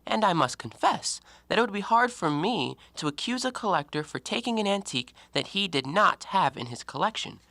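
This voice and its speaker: A man playing a talkative, educated and self-righteous gentleman antiquarian in an audio drama, given to theatrical, argumentative speeches. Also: high-pitched, lively intonation, fairly quick, few pauses, no echo, full character voice. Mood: firm, reasoning. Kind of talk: stage-play acting in an audio drama.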